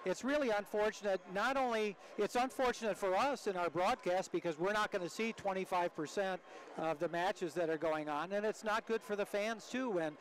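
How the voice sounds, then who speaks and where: High-voiced older American male commentator. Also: moderate pace, poor audio. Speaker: sports broadcast co-commentator. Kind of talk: conversational live commentary. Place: indoor sports arena.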